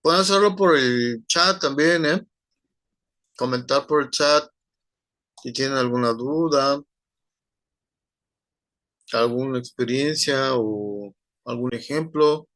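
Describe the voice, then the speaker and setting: A man lectures to an online class at an unhurried, deliberate pace. He rambles, pausing often and leaning on fillers and tag questions. The sound is dry and close, with no room echo. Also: medium pitch, a bit bright. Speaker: teacher.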